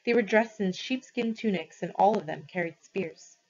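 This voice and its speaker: A woman's voice: mid-range voice, normal speed, slightly rough, muffled recording. A woman reading a story aloud expressively, as a teacher does for students.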